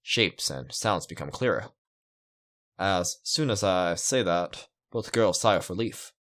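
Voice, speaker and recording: Low-voiced man reading a visual novel. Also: animated, measured pace, a bit bright. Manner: musing, reacting aloud to the story. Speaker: let's player. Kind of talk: reading a visual novel aloud with casual asides. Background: game music.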